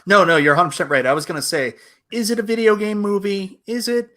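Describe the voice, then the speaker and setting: A man's voice: sits high, fast, pushed and bright. A man co-hosting a casual panel chat, opinionated, with a wry joking manner. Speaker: podcast co-host.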